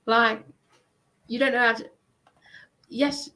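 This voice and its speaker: A woman chatting casually in a hesitant, halting manner. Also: a little high, fairly even intonation, sharp tone. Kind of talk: casual chat.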